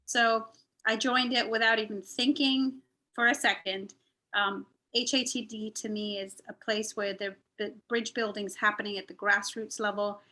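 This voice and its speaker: Woman, Indian-born and raised in the Middle East, bright clear-cut tone, medium pitch, conversational, earnest storytelling. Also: fairly even intonation, normal speed. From born in India, grew up in the Middle East, moved to the US. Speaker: volunteer speaker at a nonprofit's online celebration. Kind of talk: personal storytelling testimonial. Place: online video call.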